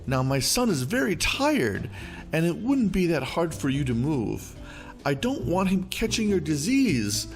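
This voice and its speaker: A man's voice: fairly high pitch, sing-song, unhurried, soft background music, voice pushed up. A man voicing an entitled, demanding middle-aged mother who is indignant and bossy.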